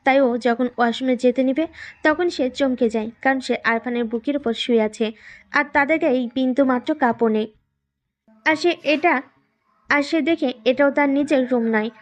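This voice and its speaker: High-pitched woman narrating a love story. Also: moderate pace. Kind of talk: third-person scripted romance narration.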